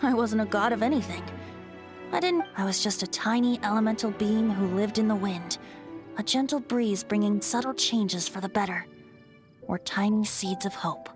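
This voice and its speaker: A woman's voice: mid-range voice, normal speed, lightly acted. A woman voicing a youthful, playful male wind spirit and bard in a game, telling old stories in a light, lyrical way. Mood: wistful. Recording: game audio with clear music.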